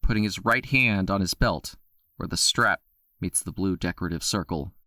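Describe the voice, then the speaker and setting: Low, bright male drama narrator. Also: normal speed, dry recording, clean studio sound. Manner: steady storytelling delivery. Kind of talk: scripted narration.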